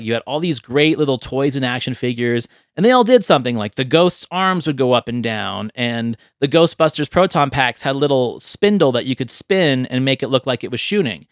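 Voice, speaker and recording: A man's voice: medium pitch, dry recording. Enthusiastic man chatting on a podcast, dry sound. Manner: enthusiastic, rambling. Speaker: podcast host. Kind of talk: casual chat about movie ideas.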